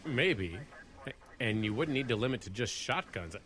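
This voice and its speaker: A man's voice: mid-range voice, expressive, measured pace, a bit bright. A man acting an earnest, cautious bush pilot in a horror audio drama, talking with some hesitation. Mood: thoughtful.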